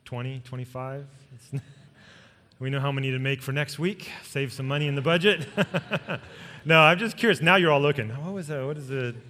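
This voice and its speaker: A man's voice: mid-range voice, normal speed, pushed and bright. A man, a pastor, making informal, joking announcements to a congregation in a casual way. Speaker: senior pastor. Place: large church hall. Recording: long hall reverb from church sound system.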